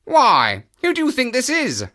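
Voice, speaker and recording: A narrator voicing an uneasy naturalist gentleman who sounds outwardly casual but probing, in a storybook reading. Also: high-pitched, wildly swooping pitch, measured pace, pitched above the performer's own voice, exaggerated voice. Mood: brisk, probing. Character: excitable, uneasy naturalist man, the woman's brother.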